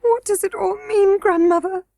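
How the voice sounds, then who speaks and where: A high, bright little princess, earnest and tearful, as a woman reads her. She pleads eagerly in a lifted voice. The sound is close and dry. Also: lively intonation, moderate pace, no echo.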